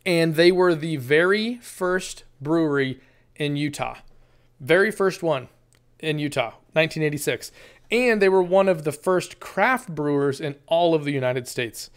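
A man in his thirties talks casually to his camera about a beer, wandering off topic and filling his pauses with ums. His voice is smooth and clear and sits a little high for a man. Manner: relaxed and rambling, many ums and restarts. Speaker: beer review vlogger. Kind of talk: casual solo review. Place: small room, indoors, green screen. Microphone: desk camera, framed from the chest up.

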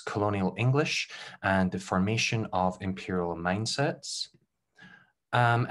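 Brief: young Scottish man, deep voice, webcam talk, careful delivery with ums. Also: a bit bright. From Scotland. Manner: measured, careful delivery with frequent um fillers. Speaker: doctoral researcher presenting. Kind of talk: recorded conference presentation.

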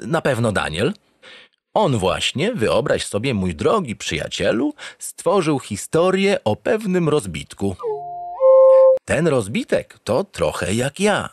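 A naive, pompous starship captain, telling his own story in a comic sci-fi radio play. His delivery is theatrical and earnest, with extremely swooping intonation at a middle male pitch. Music plays behind him. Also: no echo, exaggerated voice. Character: naive, self-satisfied, doting male spaceship captain. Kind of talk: comic first-person radio drama narration.

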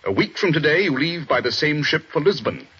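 An actor playing a stern middle-aged police chief inspector, in a 1940s radio drama, husky and clipped. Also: male voice, on the high side, expressive, fairly quick, fairly bright tone, exaggerated voice. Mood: grave. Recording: dull old radio broadcast.

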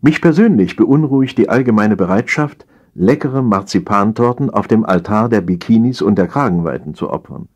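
A man reading a humorous story as a scripted audiobook narration, in an expressive, theatrical storytelling manner. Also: on the low side, normal speed.

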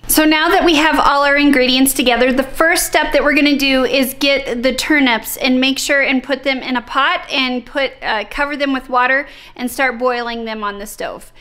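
A woman's voice: high voice, fairly even intonation, normal speed, few pauses, sharp tone. A woman in her thirties hosting a home cooking vlog, talking step by step through a recipe to a handheld camera in her kitchen. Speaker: vlog host. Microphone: handheld camera close to face.

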